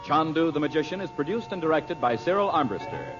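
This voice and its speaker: A man's voice: fairly high pitch, expressive, fast. An American man announcing in old-time radio style, reading scripted copy with polished, emphatic salesmanship. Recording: muffled vintage radio recording with music. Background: music.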